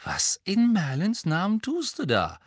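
A man's voice: high-pitched, wildly swooping pitch, measured pace, no echo, studio quality, voice pushed up, full character voice. A man voicing a lanky, sceptical teenage boy in an audiobook story, blunt and grumbling. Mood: incredulous.

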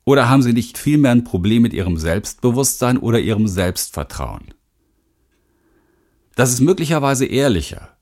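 A man's voice: medium pitch, very animated, moderate pace, darkish tone. A middle-aged man from western Germany narrating his self-help audiobook as a speaking coach, candid and emphatic, talking straight to the listener. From Rhineland, western Germany.

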